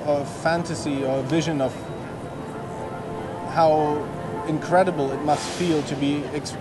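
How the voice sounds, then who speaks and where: A man in his thirties speaks thoughtfully as he explains his work, halting and restarting his sentences. His voice sits a little high, and loud music plays under him on a busy outdoor plaza. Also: steady tone. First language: German. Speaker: artist and exhibit creator. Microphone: handheld microphone.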